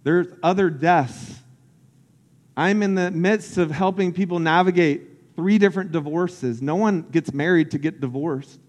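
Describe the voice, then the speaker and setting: A man's voice: fairly high pitch, measured pace, darkish tone, long reverb tail. A man from Central Florida, a pastor giving an earnest, personal sermon that builds in intensity.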